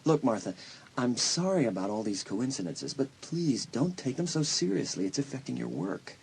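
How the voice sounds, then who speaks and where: An actor playing a concerned, reassuring school counselor in an audio drama, speaking earnestly and soothingly with some hesitation. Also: male voice, medium pitch, animated, normal speed, gravelly, a little mellow, slight background noise, poor audio.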